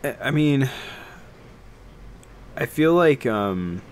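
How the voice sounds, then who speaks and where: A man's voice: medium pitch, animated, drawn-out words, slight background noise. A man co-hosting a podcast, talking in casual, conversational chat. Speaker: podcast co-host.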